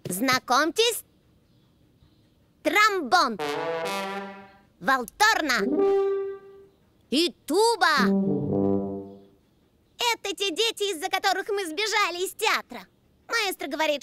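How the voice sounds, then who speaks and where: A woman's voice: very high-pitched, lively intonation, slow pace, pauses often, heavily acted. A woman voicing a haughty, theatrical cartoon trumpet lady with a hot temper that soon cools. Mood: explanatory. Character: haughty female trumpet, hot-tempered but quick to forgive. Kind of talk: animated cartoon character acting. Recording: faint music behind.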